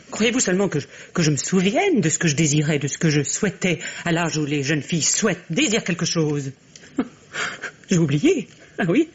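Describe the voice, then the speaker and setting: An actress playing a bitter, unhappy middle-aged wife in a French radio drama, halting and repeating words with volatile emotion. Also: female voice, sits low, sing-song, husky, muffled recording, full character voice. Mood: bitter, weary. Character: bitter, grey-haired, unhappy wife, trapped and theatrical.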